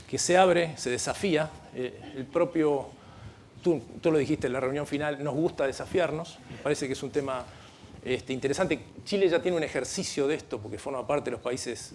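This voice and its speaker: Argentine man, middle-aged, panel speaker, earnest and explanatory, mid-pitched voice, faint room noise. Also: a little mellow. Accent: Argentine Spanish. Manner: earnest, explanatory, with frequent restarts and light asides. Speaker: panel speaker at a legal training event. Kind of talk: conference panel talk. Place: conference room.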